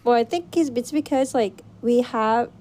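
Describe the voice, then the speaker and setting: A woman's voice: fairly high pitch, moderate pace, a little mellow, slight background noise. A woman from Japan, co-hosting a casual podcast in a chatty, playfully contradicting way. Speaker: podcast co-host.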